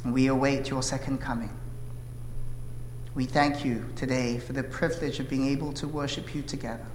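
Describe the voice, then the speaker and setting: Man preaching, from south korea, korean native speaker, earnest and measured, long church reverb, faint hum. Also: medium pitch, fairly even intonation. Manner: earnest, measured, frequent "uh" fillers. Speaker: pastor. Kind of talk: sermon with opening prayer. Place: church sanctuary. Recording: echoey hall reverb, faint room noise.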